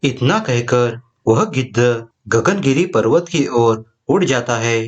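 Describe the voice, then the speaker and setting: A man's voice: medium pitch, animated, slow, dull audio. A man reading scripted narration for a cartoon moral story.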